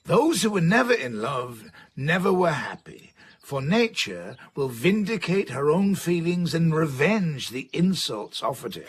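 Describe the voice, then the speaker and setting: A forceful, learned old man holds forth on love and company in a radio play. The voice is husky, and the pitch rises and falls with animated emphasis. His manner stays authoritative and declaiming. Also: a little slow, heavily acted. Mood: pontificating. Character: learned, forceful older male scholar holding forth. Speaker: lead actor in radio drama. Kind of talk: BBC radio drama acting.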